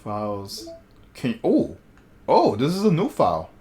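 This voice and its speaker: A man livestreaming a game, chatting casually in slangy, animated commentary and reading on-screen text aloud. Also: medium pitch, unhurried.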